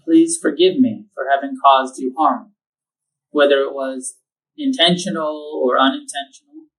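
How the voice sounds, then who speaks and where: A man leading a guided meditation, giving slow, calm instructions with long pauses. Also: medium pitch, recorded from a distance, low-quality recording. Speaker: meditation teacher.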